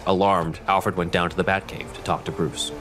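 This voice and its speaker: A man narrating an audio drama in a measured, scripted storytelling manner. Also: low voice, flat delivery, music in the background.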